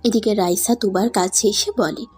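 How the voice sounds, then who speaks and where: A woman's voice: mid-range voice, fairly even intonation, moderate pace, a little mellow, breathy edge. A woman narrating a scripted audio story. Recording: music under the voice.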